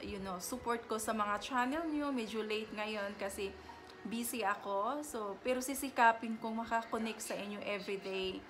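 A woman in her thirties with a Filipino accent, chatting casually to her vlog camera at home, halting and often restarting her sentences. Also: on the high side, a bit bright, faint music behind. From Philippines. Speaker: vlogger. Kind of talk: casual vlog chat mixing English and Tagalog. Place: home living room, indoors. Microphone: camera in front, seated.

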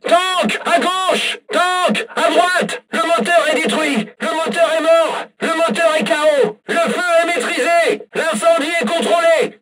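An actor playing an urgent, battle-hardened soldier in a video game, barking clipped military callouts with emphasis. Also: male voice, very high-pitched. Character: urgent, battle-hardened French colonial infantry soldier and tank crewman.

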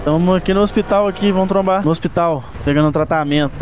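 A man's voice: high-pitched, fast, noisy background. A man with a Brazilian accent, talking casually and in slang with his friends while playing a roleplay game. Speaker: gamer in a group roleplay session. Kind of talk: casual gaming chat with friends.

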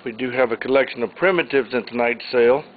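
Man listing auction items, tinny audio. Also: mid-range voice. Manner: plain, unhurried item-by-item listing. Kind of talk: informal walkthrough narration. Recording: tinny phone-like audio, faint hiss.

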